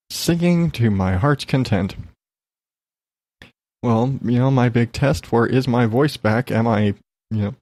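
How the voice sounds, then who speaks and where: A man's voice: on the low side, vocal fry, darkish tone, no echo. A man hosting a solo podcast, chatting in a rambling, frank, sweary way, slightly congested as he gets over an illness. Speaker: podcast host. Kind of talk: casual solo chat, rambling personal update.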